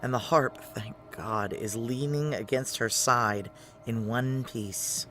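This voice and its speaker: Bright, terse security chief. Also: male voice, mid-range voice, slow, soft background music. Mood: tense, controlled. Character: tough, controlled security chief narrating a sci-fi noir. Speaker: narrator and lead character. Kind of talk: noir audio drama narration.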